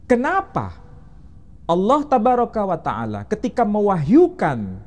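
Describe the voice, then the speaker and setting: A man's voice: high voice, huge pitch swings, unhurried, a little mellow. A man, an Islamic teacher, explaining religion in an interview and quizzing the interviewer with rhetorical questions.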